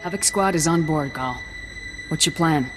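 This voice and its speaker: A woman's voice: low-pitched, moderate pace, lightly acted. An actress playing a no-nonsense female special forces major in a video game, curt and authoritative. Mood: firm, businesslike. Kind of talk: video game dramatic dialogue. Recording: loud music underneath.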